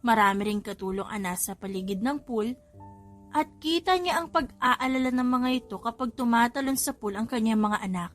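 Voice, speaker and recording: A woman's voice: a little high, normal speed, fairly bright tone, soft background music. A woman reading a story as an audiobook narrator in scripted narration.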